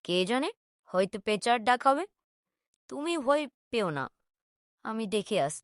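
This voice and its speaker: A woman's voice: on the high side, lively intonation, measured pace, smooth, no echo, studio quality. A woman voicing a bold, skeptical village boy in a cartoon, with a defiant, cocky manner. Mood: reassuring.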